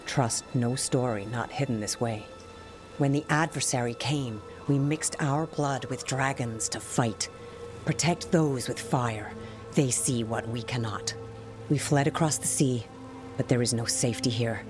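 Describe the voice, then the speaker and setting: An actor playing a Qunari scholar and translator, grave and formal. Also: female voice, rumbling low, measured pace. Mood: reciting. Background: music.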